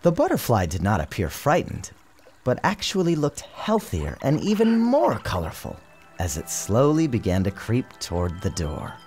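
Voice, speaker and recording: Male storybook narrator, theatrical and expressive, swooping intonation, music underneath. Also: mid-range voice, moderate pace. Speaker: author narrating his storybook. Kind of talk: dramatic scripted storybook narration. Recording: music and sound effects behind narration.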